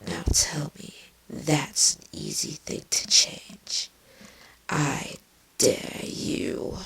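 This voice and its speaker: A woman's voice: sits low, huge pitch swings, very slow, fairly bright tone, whispered, heavily acted. A woman voicing a bitter, venomous changeling queen villainess with a biting, sardonic delivery in a fan fiction reading. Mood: dangerous whisper. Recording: distant mic, clearly noisy.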